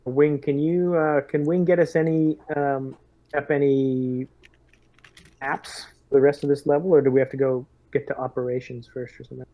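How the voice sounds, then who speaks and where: Male tabletop player, even and little-varied delivery, hesitant phrasing, muffled sound. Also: medium pitch, moderate pace, no echo. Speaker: player in a tabletop roleplaying game. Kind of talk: casual in-character and table talk during a tabletop game.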